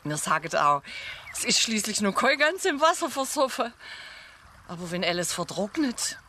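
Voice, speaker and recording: An actress with a Swabian accent playing a homely, sly woman in a radio drama, offering folksy sayings deliberately. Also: mid-range voice, very animated, sharp tone, slight background noise.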